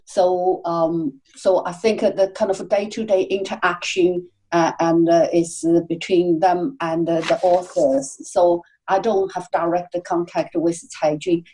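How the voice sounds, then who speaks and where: A woman's voice: lowish voice, flat delivery, measured pace, few pauses, dark tone, low-quality recording. A woman being interviewed as a literary guest, speaking hesitantly with many fillers. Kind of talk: casual interview chat.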